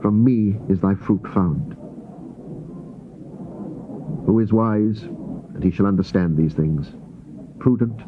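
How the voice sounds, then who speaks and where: An actor playing a grave biblical prophet, reading scripture in a measured, stately, solemn way. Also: male voice, fairly low pitch, lightly acted. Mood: solemn, assured. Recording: muffled thin old cassette tape, faint hiss.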